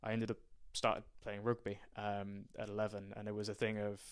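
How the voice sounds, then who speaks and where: A man's voice: fairly low pitch, monotone, normal speed, clear, resonant tone. A young man from County Durham, a podcast guest talking about his background in a hesitant way, with many ums and restarts. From County Durham, England. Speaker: strength and conditioning coach, guest. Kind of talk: casual podcast interview.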